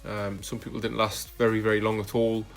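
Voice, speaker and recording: Flat-voiced man narrating his rides. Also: lowish voice, brisk, gravelly, faint music behind. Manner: relaxed, hesitant with frequent fillers. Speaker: cycling vlogger. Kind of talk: casual voice-over narration of ride footage.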